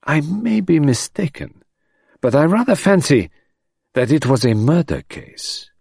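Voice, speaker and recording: A man voicing a courteous, shrewd detective in an audiobook, with a gentle, ironical, emphatic manner. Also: fairly high pitch, big pitch swings, a little slow, gravelly, slightly rough, no echo, studio quality, voice pushed up, heavily acted. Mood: emphatic, confiding. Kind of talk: audiobook character voice.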